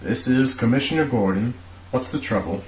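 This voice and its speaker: A man playing an authoritative, brisk police commissioner in an amateur radio drama. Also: mid-range voice, natural voice. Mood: businesslike. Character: authoritative middle-aged police commissioner, steady, businesslike. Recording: roomy echo, faint hiss.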